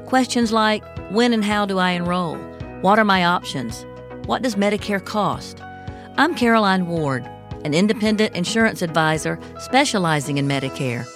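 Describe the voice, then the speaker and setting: A woman reading her own radio ad as an insurance advisor, with a smooth and reassuring sales delivery. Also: mid-range voice, lively intonation, moderate pace. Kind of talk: radio ad read. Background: faint music bed.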